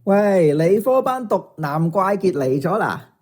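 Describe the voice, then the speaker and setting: A woman acts out a bossy schoolgirl in an audio drama, with a fairly low female voice. She sounds theatrical and mocking, speaking with a Hong Kong Cantonese accent. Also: lively intonation, unhurried, darkish tone. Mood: taunting. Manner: taunting, theatrical delivery.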